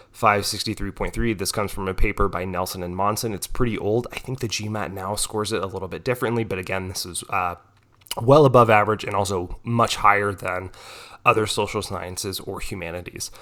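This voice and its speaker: A man's voice: on the low side, a little fast, a bit bright. A young man with a cold, a little nasal, explaining a topic in a casual tutoring talk with frequent "right?" tags. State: has a cold, may sound nasal. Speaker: economics tutor.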